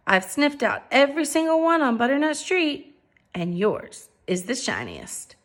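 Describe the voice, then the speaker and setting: A woman's voice: sits high, very animated, measured pace. A woman voicing a hopeful young male dog from a storybook, earnest, pleading and playful. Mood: eager, cheeky. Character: eager, hopeful young male stray dog, polite and cheeky.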